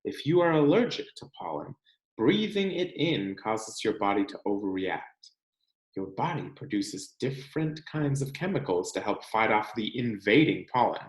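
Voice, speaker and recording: An American man reads a children's picture book aloud with expressive, deliberate storyteller phrasing and the occasional casual aside. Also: lowish voice. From California, United States. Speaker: read-aloud host, teacher reading to children. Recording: echoey room.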